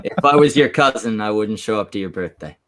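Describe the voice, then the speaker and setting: Deadpan, halting man from Canada. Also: lowish voice. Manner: deadpan, halting, self-mocking. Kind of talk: crude casual chat.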